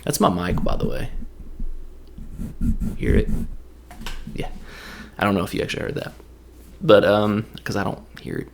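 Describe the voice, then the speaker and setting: A man's voice: lowish voice, very animated, gravelly, a little hiss or hum. A man with a Tennessee accent giving a loose, joking, rambling recap of a movie. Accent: Tennessee Southern. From Tennessee, USA. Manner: loose, jokey, drifts into quick impressions. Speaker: movie reviewer.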